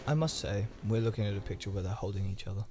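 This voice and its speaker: A man jokes and teases his way through a casual film podcast, his pitch swinging widely. Music is clearly heard behind him. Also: lively intonation, fast talker. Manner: joking, teasing, laughing often. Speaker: podcast co-host. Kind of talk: casual chat about films.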